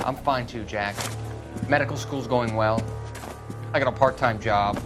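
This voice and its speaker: An actor playing an anxious young medical student who pleads earnestly for help. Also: male voice, medium pitch, a little slow, lightly acted. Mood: pleading. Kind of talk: video game cutscene acting. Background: music score.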